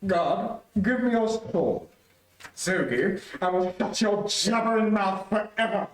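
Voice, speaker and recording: A man's voice: sits high, lively intonation, takes it slowly. A man playing a villainous, pompous tyrant emperor in an old-time radio serial, commanding and menacing. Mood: furious. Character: imperious villainous male tyrant emperor of a space empire. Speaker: amateur radio play actor. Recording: echoey, distant streamed stage audio.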